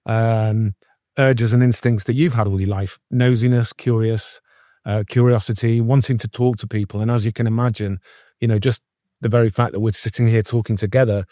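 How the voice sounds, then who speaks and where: A man's voice: fairly low pitch, dark tone, dry recording, studio quality. A middle-aged man hosting an interview, chatty and enthusiastic, with lots of fillers and long winding questions. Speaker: interview host, former journalist.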